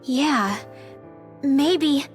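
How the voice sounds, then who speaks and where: A woman's voice: high-pitched, drawn-out words, soft background music. An actress playing a spirited, candid teenage girl in a video game cutscene. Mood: puzzled, insistent.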